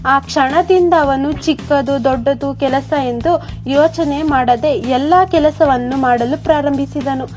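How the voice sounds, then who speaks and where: A woman's voice: high-pitched, measured pace, darkish tone. A woman reading a scripted moral story as a storyteller. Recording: music behind the voice. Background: music.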